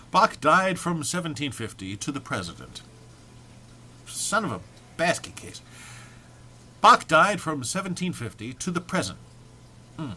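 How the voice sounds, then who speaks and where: A man's voice: fairly high pitch, pushed and bright, husky. A man giving a dramatic comic reading of a humorous text, mock-serious in delivery with dry muttered asides. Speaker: reader.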